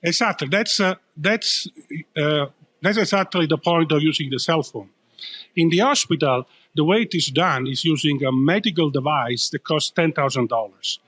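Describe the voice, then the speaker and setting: A man's voice: a little high, normal speed, a bit bright. A man with an Italian accent, explaining his company as a founder being interviewed, in an emphatic, explanatory way. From Italy.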